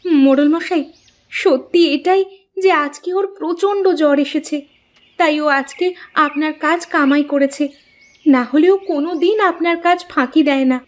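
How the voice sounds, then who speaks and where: A woman's voice: high voice, moderate pace, close to an everyday voice. A woman voicing a humble, earnest poor village wife in a moral-story cartoon, pleading respectfully.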